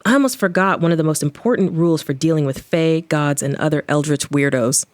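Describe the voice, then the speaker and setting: A woman's voice: low voice, fairly quick, natural voice. A woman playing a wry, irreverent adventurer, narrating her story in the first person in a casual, sardonic way for an audio drama. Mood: cautious. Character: wry, irreverent female adventurer and occult investigator.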